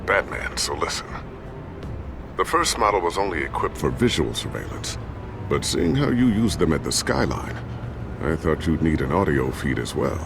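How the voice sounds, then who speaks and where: A man's voice: a bass voice, big pitch swings, normal speed, creaky, background noise, heavily acted. An actor playing an eager, quippy male tech engineer in a video game, chatty and proud of his gadgets.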